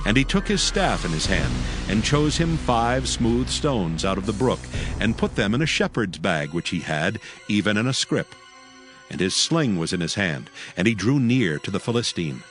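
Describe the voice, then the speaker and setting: A man's voice: fairly low pitch, very animated, normal speed. A man narrating scripture as storyteller in a dramatized reading. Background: background music.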